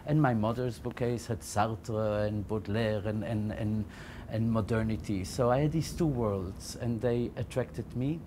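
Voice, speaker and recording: A middle-aged man with a warm, mellow voice recounts his own story. He talks reflectively and haltingly, often doubling back on words. A faint low rumble hums beneath his voice. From Belgium. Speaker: interviewee, founder of a Yiddish library. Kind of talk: personal testimony to camera.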